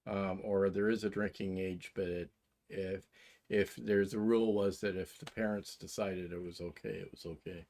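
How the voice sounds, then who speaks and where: A man's voice: sits low, steady tone, normal speed, a little mellow. A man co-hosting a casual livestream, chiming in with short remarks and corrections. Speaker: livestream co-host. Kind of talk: casual chat.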